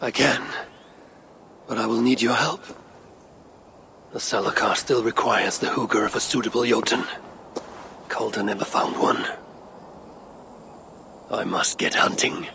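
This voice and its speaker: A man's voice: medium pitch, steady tone, a little slow, creaky, pauses often, background noise. A man playing a fierce, grieving Norse god and devoted father, grave and intense, in a video game cutscene. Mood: grimly resolved.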